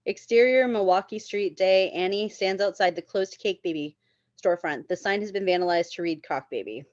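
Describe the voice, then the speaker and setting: A woman's voice: mid-range voice, normal speed, darkish tone, no echo. Woman reading stage directions, dry dull audio. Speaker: table read narrator reading stage directions. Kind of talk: scripted narration at a table read.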